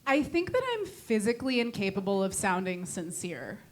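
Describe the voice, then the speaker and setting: A woman's voice: medium pitch, sing-song, moderate pace. A young woman from Connecticut doing a stand-up set on a club stage, dry and self-deprecating, with a Connecticut accent. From New England, Connecticut. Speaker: stand-up comedian.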